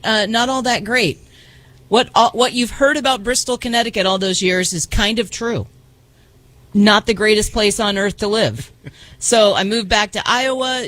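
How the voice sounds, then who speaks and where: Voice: mid-range voice, a bit bright, no room sound. Middle-aged American sports radio host, dry studio sound. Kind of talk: casual chat.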